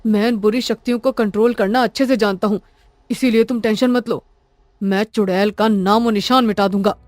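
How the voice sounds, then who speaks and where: A woman voicing a bold, irritable male traveller with a brisk, challenging manner in a cartoon story. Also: mid-range voice, steady tone, darkish tone, no echo, natural voice. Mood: bold, commanding.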